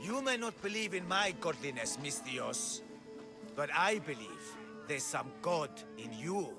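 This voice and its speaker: An actor playing a grandiose, deluded self-proclaimed god in a video game, with a pompous, theatrical, declamatory delivery. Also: male voice, fairly high pitch, sing-song, a little slow. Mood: self-important. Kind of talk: video game cutscene acting. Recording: music playing behind the voice.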